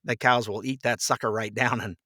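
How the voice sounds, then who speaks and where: A man's voice: medium pitch, steady tone, fairly quick, sharp tone, dry recording, clean studio sound. An older man, in his sixties or beyond, chatting casually and telling anecdotes in a folksy, joking way as a podcast guest. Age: 60s or older.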